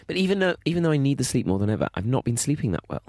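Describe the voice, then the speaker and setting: A man's voice: mid-range voice, big pitch swings, rapid-fire, a little mellow, no echo, studio quality. A young man co-hosting a podcast, telling stories in casual chat, with camp, chatty put-on quotes. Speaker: podcast co-host.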